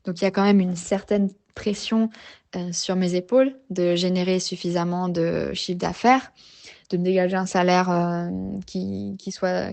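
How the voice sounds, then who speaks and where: A woman's voice: medium pitch, darkish tone. A woman, talking reflectively as a podcast guest, with many hesitations and restarts. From Geneva region, Switzerland. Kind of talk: personal story in a podcast interview.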